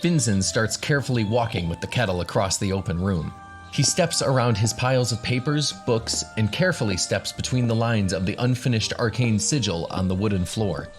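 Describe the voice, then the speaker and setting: A man's voice: fairly low pitch, fairly quick, soft background music. A man narrating a tabletop fantasy radio story as game master, in a scripted storytelling style.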